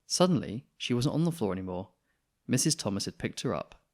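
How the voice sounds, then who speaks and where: A man reading a children's picture book aloud in an expressive storytelling style. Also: lowish voice, brisk.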